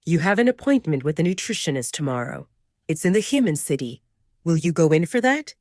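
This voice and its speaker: A woman's voice: deep voice, sing-song, fairly quick, darkish tone, no echo, clean studio sound. A woman voicing a bossy young male werewolf alpha with a curt, commanding manner in an audio drama.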